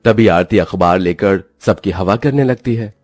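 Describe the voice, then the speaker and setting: A man's voice: sits low, normal speed, a little mellow, soft background music. A man reading scripted narration for a children's cartoon story as its narrator.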